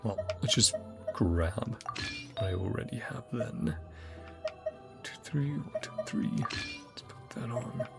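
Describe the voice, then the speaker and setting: Brief: easygoing male game streamer, gravel in the voice, lively pitch, game music underneath. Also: slow pace, long pauses. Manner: laid-back, chuckling, reads game text aloud. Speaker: gaming streamer. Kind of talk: casual let's-play commentary.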